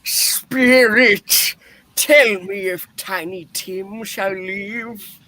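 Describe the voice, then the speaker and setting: A man's voice: sits high, very slow, pushed and bright, dry recording, exaggerated voice. A man playing a humbled, anxious old miser in a radio play reading, hesitant and pleading.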